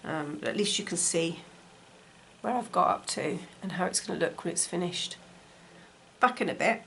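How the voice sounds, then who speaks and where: A woman with a croaky voice from a cold, chatting casually as she demonstrates stitching at home. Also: fairly low pitch, normal speed, fairly bright tone. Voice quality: slightly gravelly. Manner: chatty, talks while stitching. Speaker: textile crafts teacher. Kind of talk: casual craft tutorial. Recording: faint appliance hum behind. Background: dishwasher running.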